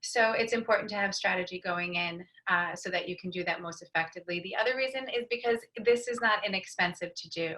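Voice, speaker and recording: A woman panelist giving a measured, advisory explanation over a video call. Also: fairly low pitch, bright, forceful tone, rough recording. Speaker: brand strategist, panelist. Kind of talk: explanatory presentation.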